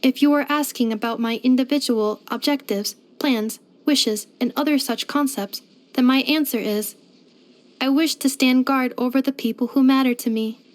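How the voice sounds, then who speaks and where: A woman's voice: sits high, normal speed, lightly acted. A woman voicing a polite, devoted household robot companion in a video game, speaking in formal, precise, computer-like phrasing. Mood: earnest, devoted. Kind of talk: scripted video game character voice lines.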